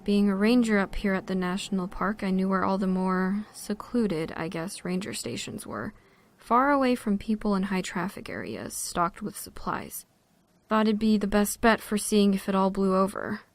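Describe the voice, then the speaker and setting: A woman's voice: mid-range voice, lively intonation, normal speed, close to an everyday voice. A woman playing a wry, self-reliant park ranger in an audio drama, talking bluntly and casually.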